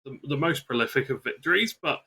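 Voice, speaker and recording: A man's voice: mid-range voice, animated, fast talker, sharp tone, breathy edge. A man working as an esports co-commentator, analysing football video game matches during live commentary.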